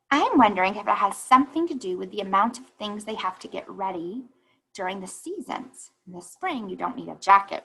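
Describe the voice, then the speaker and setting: A woman reading a children's picture book aloud like a teacher, pausing to think aloud and explain. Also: medium pitch, gravelly, fairly bright tone, slightly rough, low-quality recording.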